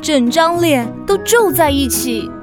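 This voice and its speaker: Woman, slightly high voice, children's story narrator, clear music underneath. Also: lively intonation, unhurried.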